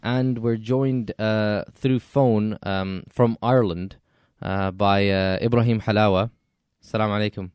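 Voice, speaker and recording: Smooth, dry-sounding male podcast host. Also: fairly low pitch, steady tone, unhurried, a little mellow, no echo. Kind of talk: casual interview chat.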